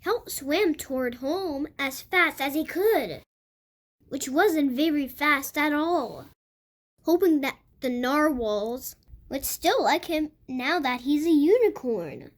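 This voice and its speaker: A child reading a picture book aloud for other kids, with expressive, emphatic storytelling. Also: sits high, slow. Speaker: host of a read-aloud channel.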